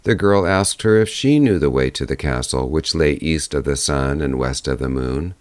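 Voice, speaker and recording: A man's voice: a bass voice, animated, moderate pace, darkish tone. A man reading a fairy tale aloud as scripted audiobook narration. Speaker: audiobook reader.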